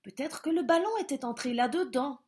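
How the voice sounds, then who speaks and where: A woman's voice: high voice, fairly quick, a little mellow, close to an everyday voice. A woman doing a sheepish little bear cub. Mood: eager. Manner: eager, slightly sheepish.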